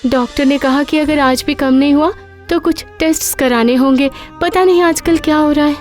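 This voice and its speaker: Woman voicing a high-pitched, earnest cartoon housemaid. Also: fairly even intonation, normal speed, faint music behind, close to an everyday voice. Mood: worried, deferential. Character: humble, hard-working young mother working as a maid. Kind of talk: animated cartoon dialogue.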